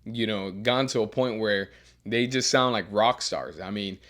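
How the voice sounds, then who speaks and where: A man who coaches strength and teaches as a professor, giving earnest career advice with a deeper voice and frequent repeated words as he restarts. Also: a little slow, a bit bright. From Miami. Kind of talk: advice monologue.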